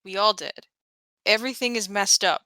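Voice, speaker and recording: Bright-voiced earnest woman gamer. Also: medium pitch, moderate pace, no echo. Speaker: tabletop game player. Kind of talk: casual in-game role-play.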